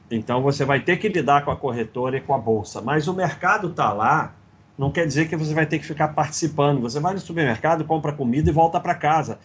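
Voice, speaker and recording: A man's voice: a little high, slightly rough, dull audio. A middle-aged man with a Brazilian Portuguese accent hosting a live investing stream, lecturing bluntly and sarcastically while going through slides. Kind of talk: casual livestream talk, reading slides.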